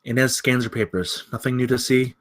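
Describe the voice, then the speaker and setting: A man's voice: mid-range voice, fairly even intonation, a little fast. A man narrating scripted stage directions at a film table read.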